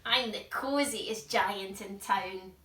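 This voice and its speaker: A woman's voice: medium pitch, slow, pushed and bright. A woman voicing a kind, cheerful giant in a children's storybook, with an exclaiming, sing-song manner. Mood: overjoyed. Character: kind, cheerful giant who sings to himself. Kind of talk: children's storybook read-aloud. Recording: echoey room, distant mic, music behind.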